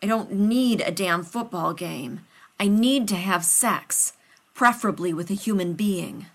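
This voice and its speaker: A woman reading a story aloud, voicing a strained, desperate male soldier who speaks in a low, clipped way. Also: slow pace, sharp tone, full character voice.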